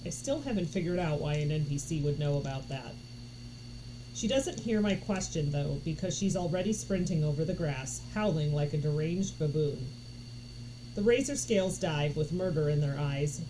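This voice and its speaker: A woman reading a story aloud in an expressive narration style. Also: sits low. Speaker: audiobook reader. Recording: noticeable background noise.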